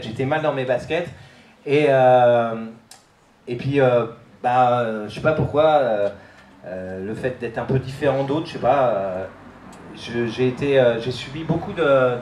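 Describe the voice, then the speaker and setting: A man's voice: medium pitch, a little mellow, a little hiss or hum. A man from France giving a hesitant personal testimony, with many fillers and restarts. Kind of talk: personal testimony at a church youth event. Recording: echoey room.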